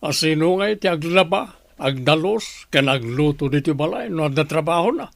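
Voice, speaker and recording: Man acting a blunt, higher-pitched Filipino father. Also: lively intonation, normal speed, gravelly, fairly bright tone, no room sound. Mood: scolding. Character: blunt, domineering father-in-law scolding over housework. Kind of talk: Philippine radio drama acting.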